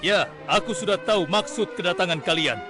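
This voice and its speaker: A stern, devout cartoon king acted by a man, his voice a little high for a man. He declaims solemnly and formally, with great dignity. Music is clearly heard behind him. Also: a little fast, lightly acted. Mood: calm, knowing. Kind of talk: dubbed animated religious story acting. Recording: loud music under the voice.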